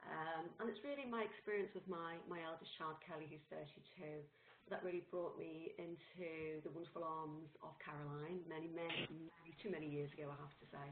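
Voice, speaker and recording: A woman's voice: deep voice, fairly even intonation, fairly quick, a little hiss or hum. A woman in her fifties, a mother and parent partner, speaking in a heartfelt, personal way on a webinar. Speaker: parent partner, charity worker. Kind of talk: webinar co-presentation. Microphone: computer mic on a web call. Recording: echoey tinny online call audio.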